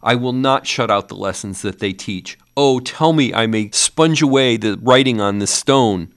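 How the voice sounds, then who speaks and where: A man's voice: mid-range voice, animated, measured pace, fairly bright tone, pitched above the performer's own voice. A man voicing a frightened old male miser who begs a ghost in earnest, old-fashioned speech, his voice strained and rising to anguished cries. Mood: desperate pleading. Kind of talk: audiobook character voice.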